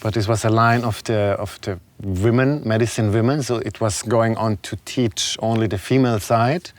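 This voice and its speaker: A man with a continental European accent, a non-native English speaker, talking reflectively and haltingly as an interview guest. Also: on the low side, fairly even intonation, dry recording. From Serbia, moved to Germany as a child. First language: not English. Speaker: guest, shaman and spiritual healer. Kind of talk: conversational interview talk.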